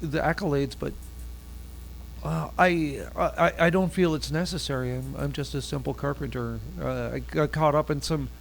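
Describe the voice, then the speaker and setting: This is a man voicing a soft-spoken, serene Jesus on a comedy radio show. He stammers calmly and never rushes. His voice is a little high, with a light hum behind it. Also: creaky. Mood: gentle. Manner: soft, halting, hesitant and placid. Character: mild-mannered Jesus, gentle carpenter and would-be rocker. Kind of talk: improvised comedy radio bit.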